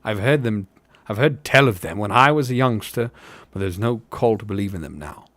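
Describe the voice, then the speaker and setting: A man's voice: lowish voice, animated, moderate pace, a bit bright, no echo. A man voicing a skeptical storybook hobbit who talks in a dismissive, mocking, rustic way. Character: scoffing, skeptical male hobbit, mocking pub debater. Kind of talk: audiobook-style fantasy character reading.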